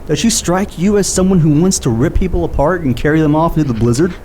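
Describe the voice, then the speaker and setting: A man's voice: on the high side, fast talker, mellow, slight background noise, close to an everyday voice. A man playing a skeptical detective partner. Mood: questioning. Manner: skeptical, questioning. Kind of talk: audio drama acting.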